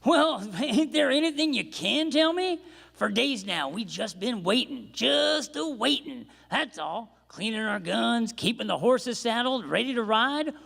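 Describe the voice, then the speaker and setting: A man playing an impatient, grumbling Old West rancher with a gravelly voice in a live radio play in an echoey hall. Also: very high voice, expressive, pushed and bright, heavily acted. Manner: folksy drawn-out cowboy grumbling.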